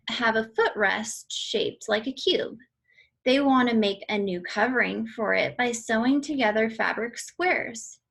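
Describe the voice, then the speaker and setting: A woman reading aloud an educational book for children in a deliberate, instructional way. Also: fairly high pitch, gravelly, a bit bright. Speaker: read-aloud reader for children.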